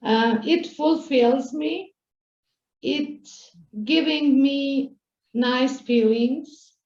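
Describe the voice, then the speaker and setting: A woman's voice: high voice, extremely slow, darkish tone, echoey room, lo-fi sound. A woman from Serbia with a Serbian accent, a native Serbian speaker, answering interview questions in English and searching for words. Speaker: guest.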